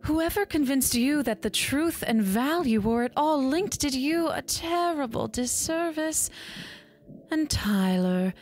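A woman's voice: sits high, expressive, slow, a little mellow, slight background noise. A woman playing a poised, uncanny tarot reader in a horror audio drama, speaking in a formal, theatrical way. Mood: knowing, poised. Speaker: actor.

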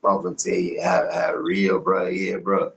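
A man's voice: sits low, sing-song, moderate pace, gravelly. A young American man talking casually on a video call in heavy slang, repeating himself for emphasis and checking he is heard. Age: young adult. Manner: repetitive, emphatic street talk with frequent tag questions. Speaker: rapper being interviewed. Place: small indoor room, on a video call. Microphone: phone at arm's length on video call.

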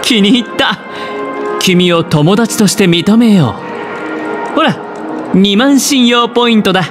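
A man voicing a suave, smug young gambler in a video game, with a smooth voice and a teasing, calculating, theatrically polite charm. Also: high voice, measured pace, music in the background. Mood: breezy, courteous.

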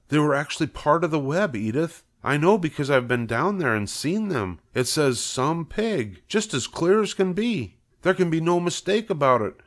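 A man's voice: mid-range voice, voice pushed up. A man voicing an earnest, awestruck middle-aged farmer in a storybook reading, raising his voice to insist.

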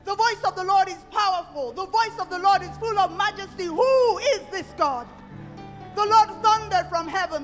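A preacher with a very high voice builds a rhythmic sermon, circling back to the same question. The voice rings through a long-reverb hall. Soft music plays underneath. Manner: rhythmic preaching with repeated refrain.

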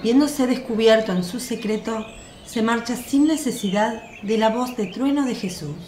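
A woman's voice: on the high side, normal speed. A woman giving scripted narration for a religious radio drama. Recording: echoey, faint noise with birdsong.

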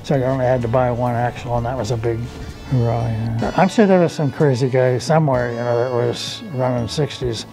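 A man's voice: mid-range voice, fairly even intonation, a little fast, creaky, music in the background, poor audio. An American man in his seventies reminiscing casually in a folksy storytelling way, often adding "you know". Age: 70s. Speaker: off-road enthusiast recounting memories. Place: outdoors on a driveway by an open garage.

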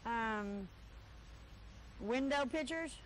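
A chatty woman in her thirties runs a live local auction show, walking a handheld camera through a cluttered stockroom. Her voice sits high and she tosses off teasing asides to the crew between items. A faint hiss hangs under the old tape recording. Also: lively intonation, drawn-out words, poor audio. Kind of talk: live cable TV sales patter.

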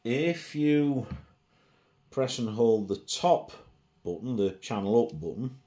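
A man's voice: mid-range voice, expressive, slow, warm tone, slight background noise. A middle-aged man giving a casual hands-on gadget demonstration, presenting indoors at a table.

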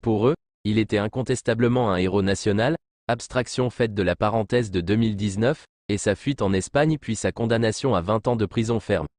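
Low-voiced man reading formal news narration. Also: brisk, a little mellow, no echo, studio quality. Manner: formal, literary read-aloud delivery.

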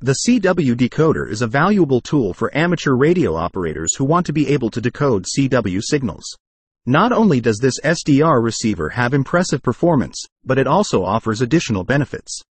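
Lively male product review narrator, close dry sound. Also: mid-range voice, fairly quick, breathy edge, no echo. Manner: promotional, read from a script.

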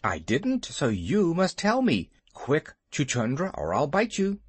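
Reader playing a bold young male mongoose, brisk, scornful, storybook hero. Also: medium pitch, wildly swooping pitch, husky, no echo, voice pushed up, full character voice.

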